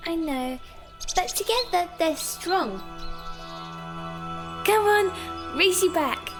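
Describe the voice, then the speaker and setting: A kindly, playful girl talks slowly and high, with music behind her. She is the encouraging older sister in an audio drama. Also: expressive, exaggerated voice. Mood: playful, reassuring.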